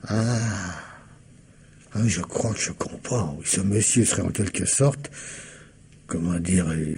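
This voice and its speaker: An actor playing a shrewd, courteous police commissioner in a radio mystery drama, husky and politely insinuating as he questions methodically. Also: sits low, slow, dark tone, a little hiss or hum. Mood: knowing, gentle.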